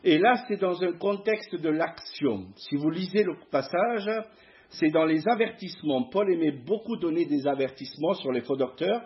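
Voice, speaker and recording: A middle-aged man with a southern French accent, a guest preacher giving a sermon in church, hesitant with many pauses. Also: fairly high pitch, lively intonation, muffled recording. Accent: southern French (Provence). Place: church hall before a masked congregation.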